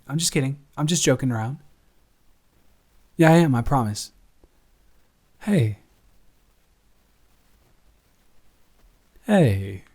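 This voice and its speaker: A man playing a teasing, affectionate young roommate who gets easily flustered, speaking haltingly and conversationally in an intimate improvised audio roleplay. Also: mid-range voice, natural voice. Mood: playful, tender. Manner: halting, conversational, self-correcting.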